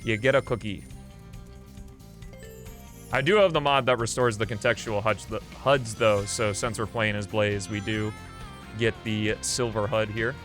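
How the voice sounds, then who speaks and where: A man's voice: lowish voice, fairly even intonation, measured pace, pauses often, fairly bright tone. A man doing casual live video game commentary, thinking aloud in a rambling, offhand way as he plays. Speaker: video game streamer and host. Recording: game music behind the voice. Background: video game music.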